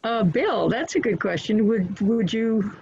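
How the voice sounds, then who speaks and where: A woman's voice: a little high, animated, a little slow, creaky, a little mellow, husky, no room sound. A woman moderating a casual online event as host, speaking on a video call from a bookshop. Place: bookshop, in front of shelves. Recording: dull, lo-fi video call audio.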